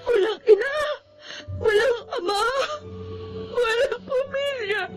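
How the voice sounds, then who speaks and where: A woman's voice: very high voice, takes it slowly, music in the background. An actress playing a grieving, tender orphaned young woman in a Tagalog radio drama, speaking tearfully. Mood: tearful anguish. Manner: tearful, emotional delivery.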